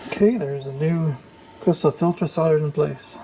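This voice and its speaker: A man giving an unscripted, hands-on repair walkthrough, thinking aloud in a halting, tentative way. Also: a little high, background noise. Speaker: repair tutorial host.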